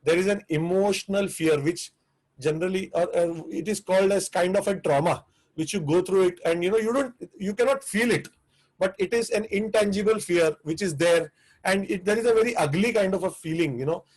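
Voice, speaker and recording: A man's voice: high voice, fairly quick, darkish tone, rough recording. A man with an Indian English accent, teaching a live online class on restaurant business, earnest and prone to repeating himself. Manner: earnest, repetitive, restarts phrases.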